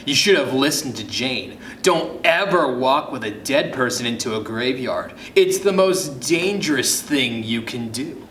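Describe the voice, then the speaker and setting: A young ghost boy from a spooky storybook, put on by a man, teasing and eerie. He taunts at an unhurried pace in a room full of echo. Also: medium pitch, animated, a bit bright. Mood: smug. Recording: roomy echo, faint noise.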